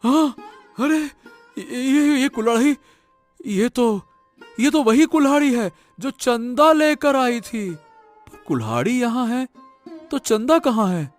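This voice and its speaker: A man's voice: extremely high pitch, animated, takes it slowly, faint music behind, heavily acted. A man playing a poor, remorseful woodcutter husband in a cartoon story, with a wailing, melodramatic manner. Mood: bewildered, anxious.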